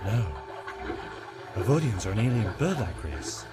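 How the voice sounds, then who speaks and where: Man playing an earnest hero with lively intonation. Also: on the low side, a little slow. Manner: earnest, explanatory. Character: earnest, principled male doctor, adventuring hero. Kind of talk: audio drama acting. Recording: loud music under the dialogue.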